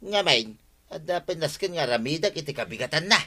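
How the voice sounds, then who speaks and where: An actor with an Ilocano accent playing a defensive, sheepish village husband in a radio comedy drama. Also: male voice, a little high, lively intonation, brisk, pushed and bright, heavily acted.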